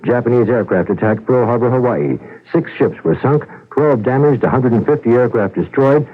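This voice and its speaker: A man's voice: mid-range voice, husky, narrow, tinny audio. A man reading a scripted, factual radio announcement in the formal style of an old broadcast spot.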